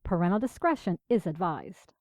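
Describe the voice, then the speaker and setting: A woman's voice: on the low side, very animated, normal speed, a little mellow, no room sound. A woman announcer reading a scripted advisory in a formal style.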